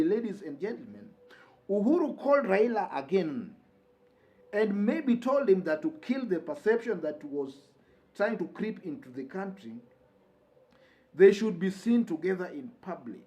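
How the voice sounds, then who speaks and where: A man's voice: high voice, sing-song, unhurried, warm tone. A man with a Kenyan accent hosting political news commentary, speaking emphatically and repeating key phrases for weight. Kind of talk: news commentary to camera or listeners.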